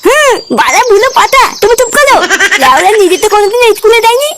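Bratty cartoon schoolboy: tiny shrill voice, fast, whiny, defiant, noisy track. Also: female voice, big pitch swings, full character voice. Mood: sulky, defiant. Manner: whining, defiant, insolent. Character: bratty, foul-mouthed little schoolboy refusing school. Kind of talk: comedy cartoon dialogue.

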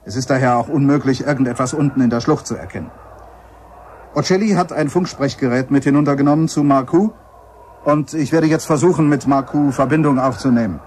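An actor playing an earnest radio reporter giving a measured live report in a radio drama. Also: male voice, mid-range voice, darkish tone, a little hiss or hum, natural voice. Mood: grave reporting. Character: earnest male radio reporter at an accident scene.

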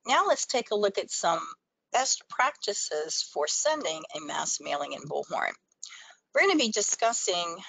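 A woman's voice: fairly low pitch, gravelly, fairly bright tone, husky, no room sound, rough recording. A woman presenting a training webinar, explaining things step by step in an instructional screen-share style.

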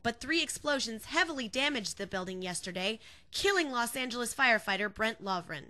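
A woman's voice: fairly high pitch, animated, fairly quick, bright, forceful tone. A young American woman reporting scripted TV news in a formal, enunciated newscaster delivery. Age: young adult.

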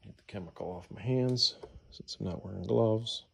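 A man's voice: on the low side, moderate pace, vocal fry, a little hiss or hum. A middle-aged man gives a casual hands-on tutorial, muttering to himself as he works in a garage. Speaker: DIY engine rebuilder giving a how-to. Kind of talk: casual hands-on tutorial, talking while working.

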